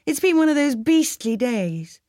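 A woman voicing a tired but eager young granddaughter who talks in an animated, exclamatory way. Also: high-pitched, a little slow, dry recording, studio quality, pitched above the performer's own voice.

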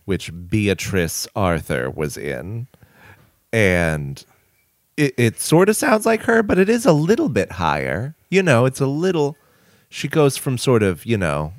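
A man's voice: medium pitch, very animated, measured pace, no room sound. A man co-hosting a casual chat with a dry, wry sense of humor. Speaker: podcast co-host.

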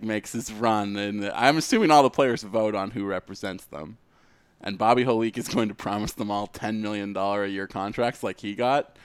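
A man's voice: fairly low pitch, smooth, no room sound. A man co-hosting a podcast, chatting casually and making jokes. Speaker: podcast co-host. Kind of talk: casual joking chat.

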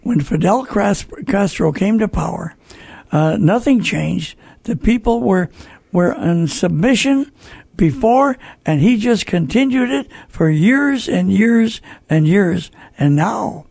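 Older american guy hosting talk radio, raspy and high pitched, folksy earnest opinions, really dry close sound. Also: lively intonation, slow, a little mellow, no echo. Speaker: radio talk show host. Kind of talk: conversational opinion commentary.

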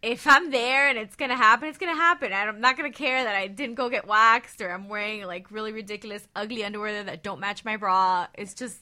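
A woman's voice: mid-range voice, brisk, hardly any pauses, bright, forceful tone. A woman on a radio talk panel, speaking in casual, conversational style.

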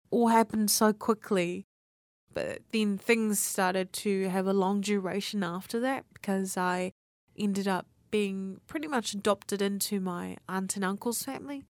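A woman's voice: medium pitch, darkish tone, no room sound, studio quality. Candid 19-year-old New woman. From New Zealand. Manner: candid, conversational, swears casually. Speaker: radio host. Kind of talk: personal monologue for student radio.

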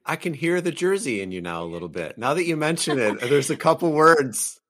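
A man with an Upper Peninsula Michigan accent hosting a podcast, relaxed and repeating himself as he asks questions. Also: on the high side, brisk. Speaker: podcast host. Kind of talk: podcast interview.

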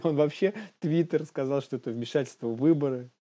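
A man's voice: mid-range voice, brisk, dull audio, very noisy. A man co-hosting a comedy news show, bantering casually and jokingly in slang and acting out bits.

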